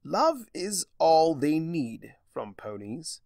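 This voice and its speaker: A man's voice: on the high side, sing-song, takes it slowly, creaky, darkish tone, no room sound, studio quality, pitched above the performer's own voice, heavily acted. A man voicing a gleefully mad, theatrical spirit of chaos who lectures in a smug, mock-cheerful way, in a story reading. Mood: mock lecturing.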